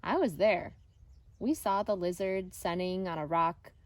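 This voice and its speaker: A woman reading a children's picture book aloud like an elementary teacher, deliberate and expressive with pauses. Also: on the low side, no echo.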